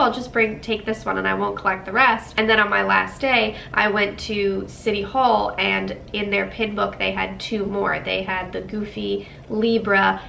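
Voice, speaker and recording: A woman's voice: mid-range voice, gravelly, sharp tone, reverberant, distant mic, slight background noise, low-quality recording. A young woman with a stuffy nose from a cold, chatting casually to camera, with lots of ums and likes. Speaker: vlogger.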